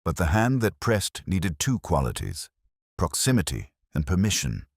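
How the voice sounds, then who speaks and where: A man voicing a patient, shrewd police inspector, speaking in a measured, quietly probing way in a detective story. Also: deep voice, huge pitch swings, husky, dry recording, clean studio sound, exaggerated voice. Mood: accusing.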